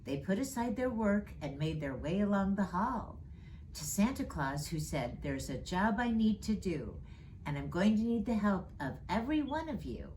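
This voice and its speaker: A woman reading a children's storybook aloud in an expressive storytime style. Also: medium pitch, slight background noise, low-quality recording. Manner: slow, expressive, rhyming read-aloud delivery. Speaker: storyteller reading as Mrs. Claus.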